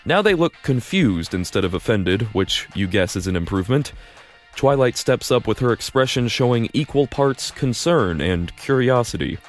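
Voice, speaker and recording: A man's voice: mid-range voice, very animated, moderate pace, soft background music. A man narrating a story in the second person, casual and wry, with dry asides.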